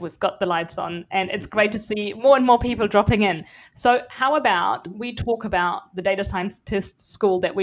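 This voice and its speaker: A woman from Germany, moderating a live-stream panel in a brisk, encouraging way over a video call. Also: medium pitch.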